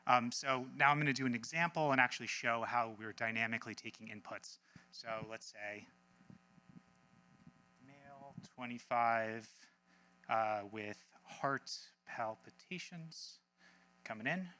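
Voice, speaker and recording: A man giving a casual live software demo as a team presenter, informal and breezy with wry asides. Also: medium pitch, measured pace, low-quality recording.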